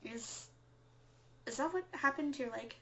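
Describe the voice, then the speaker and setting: A woman's voice: sits high, unhurried, sharp tone, dull audio, exaggerated voice. A woman playing an earnest, dutiful resistance broadcaster co-hosting an underground radio show in an audio drama, with an announcer-like manner. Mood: concerned, curious.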